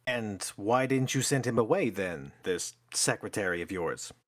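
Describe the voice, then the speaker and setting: An actor playing a courteous but brisk theatre company manager, a man speaking in a stage drama. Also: fairly low pitch, expressive, no echo, clean studio sound. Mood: curious, impatient.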